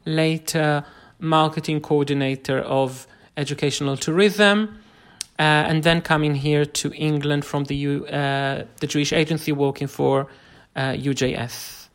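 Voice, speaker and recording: An Israeli man speaks English with the accent of a native Hebrew speaker. As a podcast guest he explains things informally, in halting, hesitant phrases. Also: fairly high pitch, fairly even intonation.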